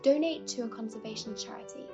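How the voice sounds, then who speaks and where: A woman's voice: on the high side, quick pace. A woman reading a scripted campaign announcement as an announcer. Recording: dull sound under loud piano music. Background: loud piano music.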